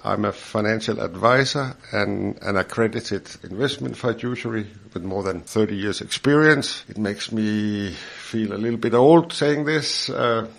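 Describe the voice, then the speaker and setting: A man's voice: fairly low pitch, a little slow, vocal fry, few pauses. A man in his fifties from Denmark with a Danish accent, a financial adviser chatting in a studio podcast interview, repeating words and chuckling as he explains.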